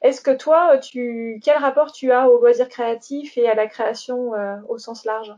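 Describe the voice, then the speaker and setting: A woman's voice: on the high side, fairly even intonation, moderate pace, darkish tone. A woman hosting a podcast interview in a casual, chatty way, giggling often and filling gaps with hesitations. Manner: chatty, giggly, many fillers. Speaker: podcast host. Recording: dull lo-fi sound.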